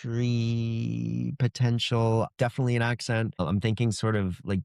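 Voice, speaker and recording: A man's voice: mid-range voice, level tone, normal speed, gravelly, darkish tone, smooth, no echo, clean studio sound. A man speaking conversationally as an author in an interview, explaining how he writes. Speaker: author being interviewed about his book.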